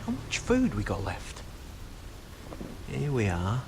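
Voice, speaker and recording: An actor playing a scrappy young wildling scout in a dramatic fantasy video game. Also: male voice, medium pitch, huge pitch swings, slow pace, a little hiss or hum, full character voice. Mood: brisk. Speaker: game character.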